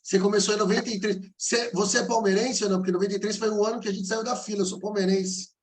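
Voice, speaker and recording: High-voiced joking podcast host, a man. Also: level tone, racing. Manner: joking and teasing. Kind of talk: casual podcast talk.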